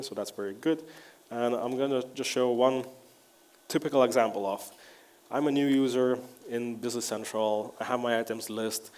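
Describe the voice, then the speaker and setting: A man whose first language is Lithuanian gives a technical conference talk with a live demo, speaking relaxed and wry with frequent "uh" fillers and restarts. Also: mid-range voice. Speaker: conference presenter. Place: conference hall.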